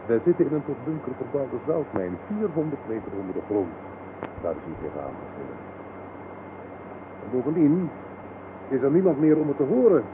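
A man's voice: mid-range voice, big pitch swings, natural voice. An older man acting a pompous, deluded old general in a radio play, speaking formally and grandly. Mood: smug, formal. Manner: formal, grandiose, measured speech-making. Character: pompous, deluded old general, self-styled modern Noah. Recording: noisy, tinny old radio play recording.

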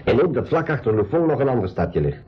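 An actor playing a matter-of-fact, level-headed travel companion in a school radio drama. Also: male voice, medium pitch, sing-song, fast talker, slightly rough, dull audio. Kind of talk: 1980s Dutch-language school radio drama acting.

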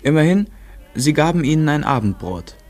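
A man's voice: fairly high pitch, very animated, moderate pace, darkish tone. A man narrating a literary radio play in a composed, articulate way.

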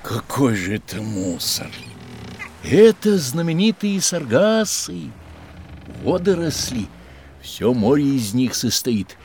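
Whimsical, self-satisfied sea captain, gravelly and theatrical, exclaiming with big swoops; children's radio play. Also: male voice, medium pitch, very animated, takes it slowly, a little mellow, a little hiss or hum. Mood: proud, emphatic. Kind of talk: children's educational audio drama.

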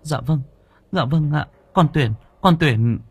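A man's voice: a little high, takes it slowly, darkish tone, slightly rough, dry recording, voice pushed up, heavily acted. A man voicing a meek young servant girl who sounds frightened, in a raised voice.

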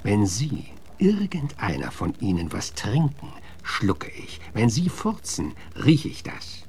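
A man's voice: fairly low pitch, wildly swooping pitch, takes it slowly, a little mellow, slightly rough, heavily acted. A man playing a blunt, suspicious American marshal in a German radio drama, firing curt, coarse questions. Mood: threatening. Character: gruff, blunt, suspicious male US marshal interrogating suspects.

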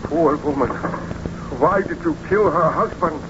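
An actor playing an anguished, accusing scientist in a melodramatic 1930s radio serial. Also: male voice, on the high side, a little slow, lightly acted. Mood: horrified. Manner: melodramatic, theatrical. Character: anguished, accusing male scientist, the monster's creator. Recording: noisy, muffled 1930s radio recording.

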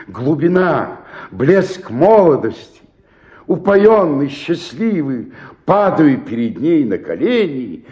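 An actor playing a despairing, self-pitying old provincial actor in a radio play, declaiming and then sinking into murmurs. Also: male voice, medium pitch, a little mellow, muffled recording, heavily acted. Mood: rapt, wistful. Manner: declamatory, swinging between outburst and murmur. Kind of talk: radio play dramatic monologue.

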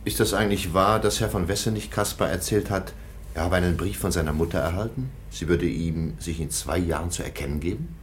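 A man playing a deep-voiced, sober nobleman. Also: fairly quick, slight background noise, natural voice. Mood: questioning. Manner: sober, matter-of-fact. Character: sober, clinical nobleman examining a wound. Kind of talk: radio play acting.

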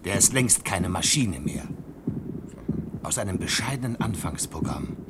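A man's voice: low voice, expressive, unhurried. A man acting a proud, defiant inventor in a German science-fiction radio play, lecturing in a pedantic, impassioned way. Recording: very noisy old radio play recording.

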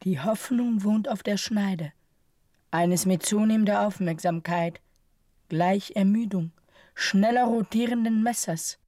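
A woman performing a solemn narrator in a radio drama, speaking in a deliberate, measured way with weighted pauses. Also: medium pitch, warm tone, close to an everyday voice. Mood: grave, measured. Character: solemn female narrator pondering a violent, dreamlike image. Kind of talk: slow literary monologue in a radio drama.

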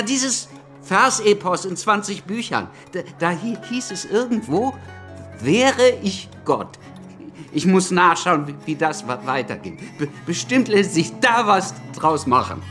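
A man's voice: high-pitched, very animated, slow pace, fairly bright tone, soft background music, full character voice. An actor playing a nervous young male pamphleteer who stammers constantly, in a radio drama. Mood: eager.

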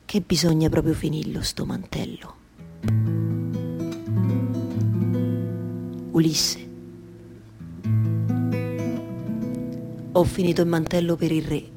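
An actress playing a weary, talkative weaver wife in a theatrical monologue, speaking colloquially with a Roman accent and repeating phrases haltingly. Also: female voice, deep voice, little pitch movement, dark tone, husky, soft background music. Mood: solemn, hushed. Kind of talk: theatrical monologue for radio theatre with live music.

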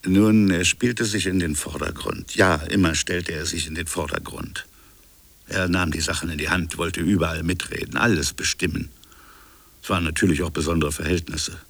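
A man playing a reflective French colonial official in a literary radio drama, recalling a buried affair in musing, fragmentary phrases with a gravelly, husky voice. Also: sits low, fairly even intonation, moderate pace, a bit bright, dry recording, full character voice. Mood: guarded, recollecting. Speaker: narrator and protagonist.

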